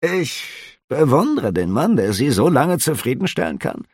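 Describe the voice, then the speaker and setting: A man's voice: medium pitch, very animated, a little slow, a little mellow, husky, no echo, clean studio sound, pitched above the performer's own voice, full character voice. A man voicing a nervous, prying middle-aged innkeeper who talks in a hasty, giggly, placating way.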